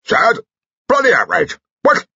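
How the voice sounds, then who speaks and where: A man's voice: sits low, animated, fairly quick, pushed and bright, slightly rough, dry recording, dull audio, exaggerated voice. A man voicing an irascible old general with few teeth, indistinct and outraged, in an audiobook.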